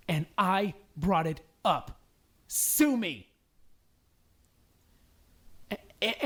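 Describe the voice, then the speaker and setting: A woman voicing a distraught, defensive teenage boy in an animated drama, stammering and emotionally raw. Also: mid-range voice. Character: distraught, defensive teenage boy, guilt-ridden and foul-mouthed. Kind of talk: dramatic voice acting in a fan-made animation.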